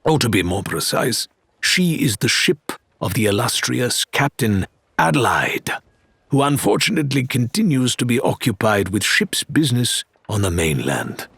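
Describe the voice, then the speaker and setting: Brief: suave male pirate, husky, theatrical, mock-courteous, with swooping pitch. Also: very animated, moderate pace, fairly bright tone, dry recording, full character voice. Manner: flamboyant, mock-polite, lilting.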